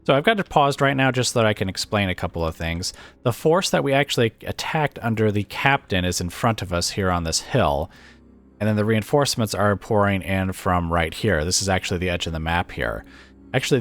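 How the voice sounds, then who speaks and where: Gameplay commentator, man, very low voice, raspy from illness, hesitant and musing, faint game music underneath. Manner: musing, hesitant, thinks aloud with fillers.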